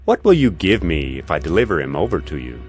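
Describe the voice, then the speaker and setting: A man's voice: rumbling low, big pitch swings, fast talker, a little mellow, breathy edge, no room sound, studio quality, full character voice. An actor playing a grasping, resentful male betrayer in a scripture drama, pointed and theatrical. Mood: scheming. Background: music.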